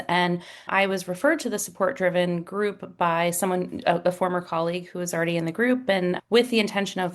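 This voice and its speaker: A woman speaks as a guest in a casual online chat, her voice a little on the low side. She sounds bubbly and keen, scattering "like" through her speech. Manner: bubbly and enthusiastic, with "like" fillers. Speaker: guest, support professional. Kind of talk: casual video call interview.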